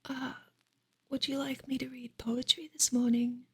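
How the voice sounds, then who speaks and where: Slow, breathy whispering woman, ASMR. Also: high voice, level tone. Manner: soft, unhurried, gentle, halting with long pauses. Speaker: ASMR livestream host. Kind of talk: ASMR, whispered casual chat to viewers.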